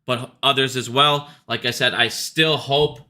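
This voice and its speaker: A man's voice: mid-range voice, fairly even intonation, unhurried, pushed and bright. A man giving a casual, opinionated business monologue like an investor commentator, blunt, emphatic and profane, repeating his points to drive them home.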